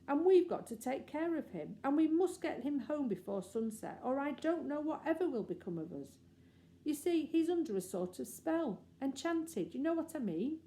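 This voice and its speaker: A woman's voice: sits high, big pitch swings, moderate pace, dark tone, voice pushed up. A woman voicing an earnest, talkative little girl who pleads and explains eagerly in a storybook reading. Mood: earnest explaining.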